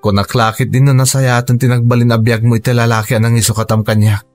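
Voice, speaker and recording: An actor with a Filipino Ilocano accent playing a forgiving, soft-spoken man in a radio drama. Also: mid-range voice, flat delivery, fast talker, faint music behind, natural voice. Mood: gentle, reassuring.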